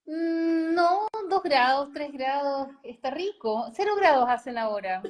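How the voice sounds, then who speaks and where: A woman's voice: high voice, animated, unhurried, slight background noise. A woman from Chile and Costa Rica talking casually as an interview guest, in loose, rambling phrases. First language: Spanish.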